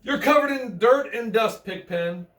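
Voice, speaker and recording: A man's voice: extremely high pitch, lively intonation, measured pace, a bit bright, heavily acted. A man reading as a blunt, bossy little girl, echoey. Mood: blunt, scolding. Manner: blunt, advising. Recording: roomy, echoey, distant microphone.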